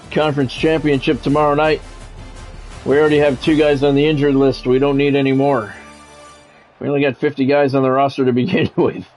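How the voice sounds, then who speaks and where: A man's voice: a little high, darkish tone, low-quality recording. A man doing live play-by-play of a sports video game, switching between announcer-like calls and casual asides to viewers. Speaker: streamer and play-by-play commentator. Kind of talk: live sports game commentary mixed with chat.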